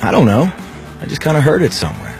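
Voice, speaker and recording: Man playing young male skeptic, casual slangy speech, incredulous, scripted skit, clear music behind. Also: mid-range voice, wildly swooping pitch, fast talker, a little mellow. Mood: unsure. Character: skeptical, scoffing young male atheist challenging a believer.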